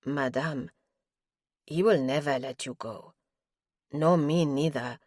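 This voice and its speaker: A woman voicing a fearful young maid who speaks timidly and quietly. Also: low-pitched, slow, no echo, studio quality. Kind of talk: audiobook character voice.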